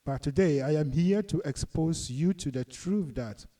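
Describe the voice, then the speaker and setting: A middle-aged Ghanaian man lectures his viewers in a deliberate, earnest way, pressing hard on his key points. His voice is very dark and mellow, and he sits a bit back from the microphone. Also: on the high side, far from the mic. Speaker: channel host and commentator. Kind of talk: lecturing monologue.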